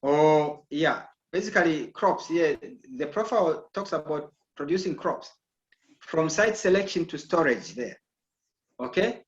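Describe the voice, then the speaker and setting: A man's voice: fairly high pitch, animated, a little slow. A man from Zambia lecturing an online agriculture class, often checking in with "okay?". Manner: repeats "okay?" to check understanding. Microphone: video call. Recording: echoey, dull video call audio.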